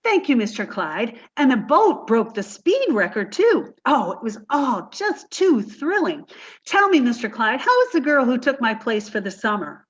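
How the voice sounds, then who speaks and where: Woman doing a scatterbrained young secretary, high bright voice, swooping sing-song lines, dull lo-fi sound. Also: big pitch swings, normal speed. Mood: cheerful, dizzy. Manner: breathless, dizzy, sing-song delivery. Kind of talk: 1950s radio comedy acting.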